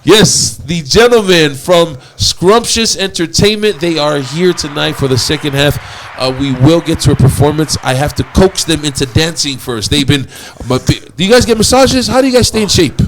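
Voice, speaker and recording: Lively talk show host. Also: male voice, a little high, moderate pace, hardly any pauses. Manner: lively, laughing, teasing. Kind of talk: casual talk show hosting.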